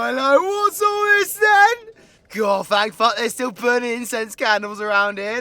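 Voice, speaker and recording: A man's voice: sits high, pushed and bright, exaggerated voice. A man playing a cocky, crude, flirtatious British supervillain in an audio roleplay, brash, teasing and theatrical with slangy, sweary talk. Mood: boisterous teasing.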